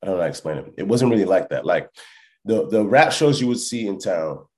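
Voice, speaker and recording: A deep-voiced man you'd hear as the musician guest on a relaxed podcast, feeling his way through an answer and checking you follow. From born in France, lived in Canada and Texas, Congolese family. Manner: reflective, halting, often checks the listener follows. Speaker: hip hop musician, interview guest. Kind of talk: casual podcast interview.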